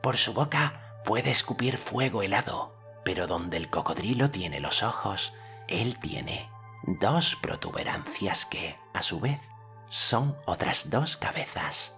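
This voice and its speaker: A man's voice: lowish voice, lively intonation, takes it slowly, sharp tone, soft background music, voice pushed up, full character voice. An adult man voicing a young boy hero in an audiobook, speaking in a solemn, formal old-fashioned way. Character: earnest boy hero, commanding storyteller.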